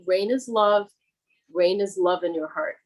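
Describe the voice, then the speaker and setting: A mother on a video call reading her child's poems and gently coaxing the child to read at an open mic. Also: medium pitch, measured pace, rough recording. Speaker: poet's mother.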